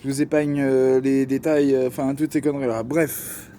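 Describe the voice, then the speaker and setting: A man's voice: medium pitch, little pitch movement, moderate pace, warm tone, slight background noise. A young man with a Parisian accent telling a personal story to camera, slangy and joking, with lots of fillers. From Paris region. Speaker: vlogger.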